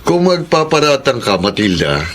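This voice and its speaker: A man's voice: medium pitch, sing-song, normal speed, a bit bright, a little hiss or hum. A man acting a teasing, defensive older brother in a Tagalog radio drama, mocking and challenging.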